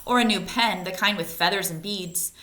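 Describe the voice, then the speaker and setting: A woman's voice: mid-range voice, moderate pace, pushed and bright, natural voice. A woman voicing earnest, eager schoolchildren from a picture book, in simple childlike phrases. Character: earnest schoolchildren fond of their teacher. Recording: echoey, roomy sound.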